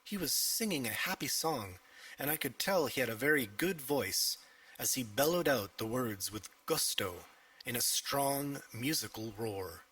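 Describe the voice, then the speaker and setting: A man's voice: mid-range voice, lively intonation, measured pace, fairly bright tone, breathy edge, distant mic, rough recording. A man narrating a scripted children's story as an audiobook reader.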